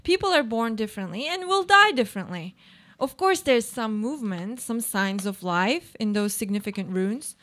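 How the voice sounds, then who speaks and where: A woman's voice: fairly high pitch, lively intonation, normal speed, fairly bright tone. A woman playing a troubled, self-doubting housewife in a reflective, questioning radio play monologue. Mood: doubtful, evasive.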